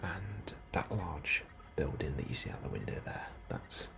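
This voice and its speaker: A man doing a soft, breathy ASMR role-play as an unhurried, politely understated officer interviewing a newcomer. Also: a bass voice. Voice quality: soft, breathy, often near whisper. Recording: steady ambient noise behind the voice. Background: computer and ambience sounds.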